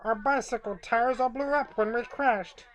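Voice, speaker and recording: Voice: medium pitch, fairly even intonation, moderate pace, pitched above the performer's own voice, natural voice. A storyteller voicing a tiny, harried, complaining mayor from a storybook, in muffled sound. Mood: distressed.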